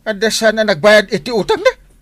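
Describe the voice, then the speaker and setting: Male actor as blustering Ilocano village captain for comedy drama; sputtering exclamations, highish pitch, extremely animated swings. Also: a little fast, dry recording. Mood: blustering, surprised. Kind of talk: Ilocano barangay comedy radio-style drama.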